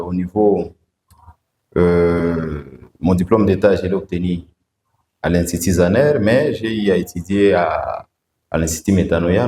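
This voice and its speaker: A very low-voiced man answers interview questions slowly, pausing often on fillers. His voice rings in an echoey room with a faint hiss of background noise. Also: dark tone. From Democratic Republic of the Congo. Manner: measured, hesitant, frequent "euh" fillers. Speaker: guest, provincial road-safety director.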